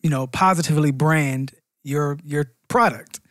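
A man co-hosting a podcast, speaking in a measured, explanatory way as he frames discussion questions. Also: a little high, a bit bright, no echo, clean studio sound. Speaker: podcast co-host. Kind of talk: casual discussion, posing questions.

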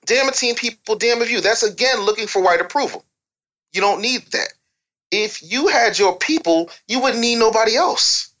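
A man's voice: high-pitched, lively intonation, moderate pace, sharp tone, rough recording. American guy on a podcast, blunt and preachy, swears, scolds you while handing out advice. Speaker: podcast guest giving business and career advice. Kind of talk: opinionated unscripted talk.

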